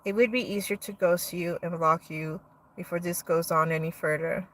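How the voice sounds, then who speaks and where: A woman's voice: fairly low pitch, steady tone, moderate pace, fairly bright tone, distant mic, slight background noise, rough recording. A young woman hosting a livestream, reading her own poems aloud with casual asides between them.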